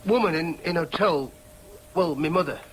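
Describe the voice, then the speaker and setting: A man's voice: a little high, normal speed, a little hiss or hum, close to an everyday voice. An actor in a radio drama playing an earnest, dogged young man with a Yorkshire accent. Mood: eager questioning. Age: young adult. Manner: insistent, questioning, sometimes in inner monologue. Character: earnest, dogged young Yorkshireman seeking his birth mother.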